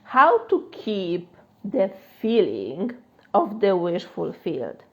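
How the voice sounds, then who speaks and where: A woman's voice: medium pitch, huge pitch swings, slow pace, dull audio. A woman with a Polish accent, a life coach answering questions in a live-stream chat, talking loosely with fillers and frequent laughs. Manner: chatty, many fillers, laughs often. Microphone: phone.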